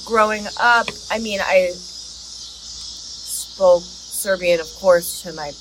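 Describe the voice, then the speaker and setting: Young woman, chatty, lots of fillers, mid-pitched voice, noisy background hiss. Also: very slow. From United States. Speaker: interviewee. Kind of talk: casual interview answers.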